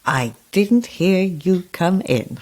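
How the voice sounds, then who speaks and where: A woman's voice: lowish voice, sing-song, slow pace, a little mellow, heavily acted. An actress playing a sinister, taunting old witch in a horror audio drama, with a menacing, sing-song way of drawing out words. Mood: sly, sinister. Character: sinister, taunting old witch who lures and cages her guests.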